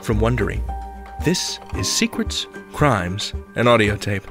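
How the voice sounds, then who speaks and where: A man's voice: on the low side, very animated, unhurried. A man hosting a podcast in a scripted yet conversational style, with a playful, theatrical and wry delivery. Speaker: podcast host. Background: music.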